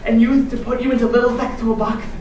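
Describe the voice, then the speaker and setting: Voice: medium pitch, little pitch movement, fast talker, a little mellow, lightly acted. A performer playing an earnest, competitive young camper telling a first-person story in readers theatre style. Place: large room or hall, distant mic. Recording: echoey hall from far off, faint noise.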